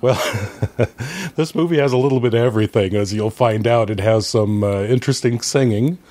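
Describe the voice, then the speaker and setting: A middle-aged man chatting casually as a podcast guest, pausing often to search for words. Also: medium pitch, warm tone. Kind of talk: casual chat.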